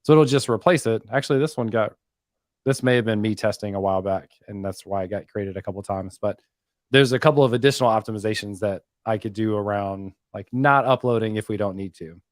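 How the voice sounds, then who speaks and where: A man with a fairly low voice explains technical work in a casual, conversational way. The sound is dry, with little room in it, as he speaks as a guest developer on a live stream. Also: fairly quick, no room sound. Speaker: guest developer and podcast host.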